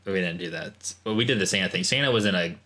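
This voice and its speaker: A man in his thirties with an Iowan accent, co-hosting a podcast with dry, deadpan joking. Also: deep voice, fast. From Iowa, United States. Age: 30s. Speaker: podcast co-host. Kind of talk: casual chat.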